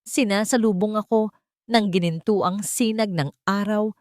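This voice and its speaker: A woman's voice: medium pitch, steady tone, measured pace, darkish tone, no echo, clean studio sound. A woman with a Filipino accent reading a dramatic story as a scripted audiobook narration.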